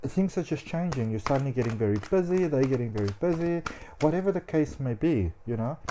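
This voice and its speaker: A man giving a casual tarot reading as a psychic. He talks in a chatty, animated way, with frequent fillers. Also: medium pitch, a little hiss or hum.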